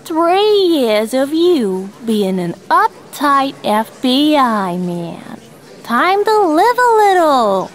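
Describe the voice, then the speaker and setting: An actress playing a playful, tipsy 1950s housewife in a retro radio drama, teasing and drawling. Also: female voice, high voice, very animated, very slow, heavily acted.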